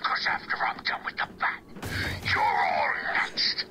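A woman's voice: measured pace, whispered, over loud music, natural voice. An actress playing an unhinged female villain in a game cutscene, ranting and scolding her henchmen. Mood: furious. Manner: ranting, scolding, wildly swinging.

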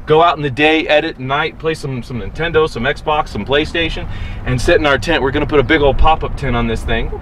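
A man in his thirties hosting a casual vlog, talking to a handheld camera outdoors and then from inside a pickup truck, joking and rambling excitedly. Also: fairly high pitch, a little fast. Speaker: vlogger, reptile-hunting channel host. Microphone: handheld camera at arm's length. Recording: noisy outdoor and road sound.

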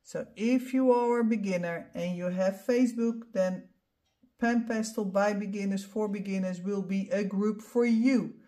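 A middle-aged woman with a Dutch accent, not a native English speaker, calmly explaining each step of a painting tutorial as she works. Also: medium pitch, a little slow. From Netherlands. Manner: calm step-by-step explaining while demonstrating. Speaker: art instructor.

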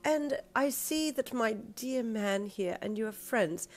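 A woman's voice: fairly high pitch, slow, soft background music, pitched above the performer's own voice. A woman voicing a petty, entitled woman diner who complains haughtily and draws out her words. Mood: haughty.

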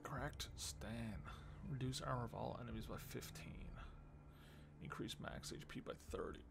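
A man's voice: mid-range voice, measured pace, vocal fry, background noise. A man, giving casual game commentary and musing over choices in short phrases. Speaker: game streamer. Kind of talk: casual let's play commentary, thinking aloud. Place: indoor room at home. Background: game music.

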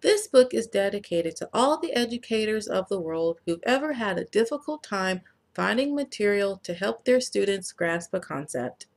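A woman author reading her own children's book aloud, introducing it in a measured, gentle way. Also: mid-range voice, animated. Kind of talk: read-aloud book narration.

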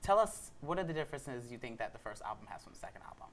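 A man's voice: medium pitch, big pitch swings, rapid-fire, a little hiss or hum. Flamboyant sassy young man co-host. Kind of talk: studio talk show chat. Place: TV studio.

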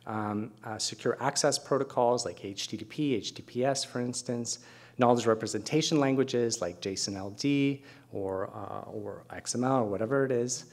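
A man's voice: medium pitch, moderate pace, vocal fry, darkish tone. A middle-aged man speaking as a keynote presenter at an academic conference, explaining things with conversational asides. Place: lecture hall at a podium, indoors.

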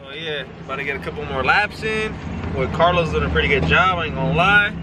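A man's voice: a little high, fast. A young man in his twenties chatting casually to a handheld camera, rambling in slang and correcting himself as he goes. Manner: laid-back slangy rambling, self-correcting. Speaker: vlogger. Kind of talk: casual vlog chat. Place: outdoors at a drift event, in a car. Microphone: handheld camera at arm's length. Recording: lo-fi camera audio, mic at a distance, loud engine noise.

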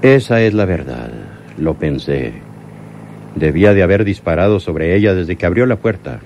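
An actor playing a menacing, lovesick crime boss in a radio drama, speaking deliberately and bitterly. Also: male voice, low voice, lively intonation, a little mellow. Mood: bitter, self-reproaching. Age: older. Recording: noisy old radio drama recording.

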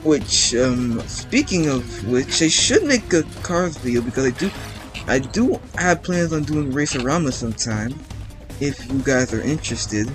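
एक किशोर लड़का, जो गेम खेलते हुए बिखरी, बातूनी कमेंट्री करता है, बार-बार "um" कहकर वाक्य दोबारा शुरू करता है, पीछे गेम का संगीत साफ़ बजता है।. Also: male voice, mid-range voice, very animated, measured pace, rough recording. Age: teens. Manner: rambling, chatty, many restarts and ums. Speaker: gameplay commentator. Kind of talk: casual let's-play commentary. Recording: game music playing underneath.

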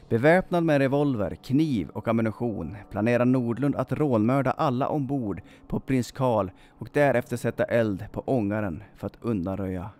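A man in his thirties reading a scripted true-crime story in a measured, dramatic storytelling manner. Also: medium pitch, darkish tone. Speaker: storyteller, channel host. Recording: music under the voice. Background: background music.

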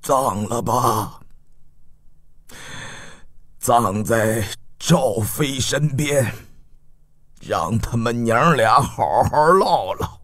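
A man playing an aged, grieving duke in an audiobook historical drama, speaking in a slow, halting, regal way with a hoarse, sighing voice. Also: medium pitch, lively intonation, fairly bright tone, dry recording, studio quality, pitched below the performer's own voice, heavily acted. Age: older. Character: aged grieving duke of Yan, weary and grave.

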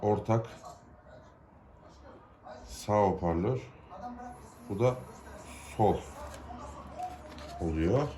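A man's voice: fairly low pitch, extremely slow, pauses often, mellow. A man from Turkey gives an informal, unscripted how-to, explaining step by step in plain, colloquial words. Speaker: hobbyist giving a DIY repair tutorial.

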